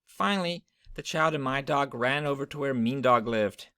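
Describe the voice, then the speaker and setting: A man's voice: mid-range voice, normal speed, fairly bright tone, smooth, dry recording, studio quality. A man narrating a children's story, read aloud from a storybook.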